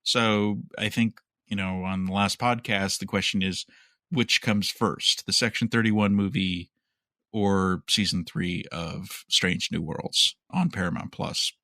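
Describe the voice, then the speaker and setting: Guy hosting a podcast, lowish and bright, just chatting through news and guesses. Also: steady tone, a little slow, no room sound. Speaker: podcast host. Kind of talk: casual news discussion.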